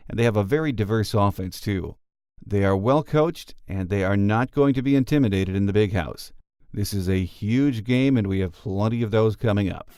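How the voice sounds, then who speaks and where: A man's voice: lowish voice, a little mellow, smooth, dry recording, clean studio sound. A man hosting a sports podcast, delivering prepared introductions and commentary. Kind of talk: scripted show introduction and commentary.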